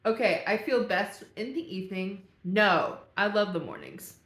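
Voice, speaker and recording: A young woman talks casually to her viewers, thinking aloud and laughing at herself as she works through a quiz. Her voice sits at an ordinary middle pitch. The room around her gives a noticeable echo. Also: sing-song, moderate pace, fairly bright tone. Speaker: vlogger. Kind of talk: casual talk while reading a quiz aloud.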